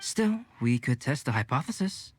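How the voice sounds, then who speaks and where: Actor as polite, exacting game host-cook, mid-pitched. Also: male voice, very animated, moderate pace, slight background noise, close to an everyday voice. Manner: polite, precise. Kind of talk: game voice acting.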